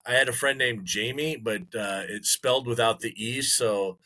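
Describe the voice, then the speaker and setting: Bright-voiced man telling stories. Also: medium pitch, moderate pace. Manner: joking storyteller, animated retellings. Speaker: podcast co-host. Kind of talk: casual chat.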